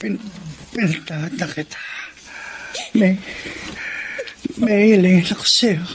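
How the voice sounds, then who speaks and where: A man acting a weak, devoted, forgiving groom in a Tagalog radio drama, speaking in a strained, halting way. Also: high voice. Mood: tender, fading. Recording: clear background ambience noise.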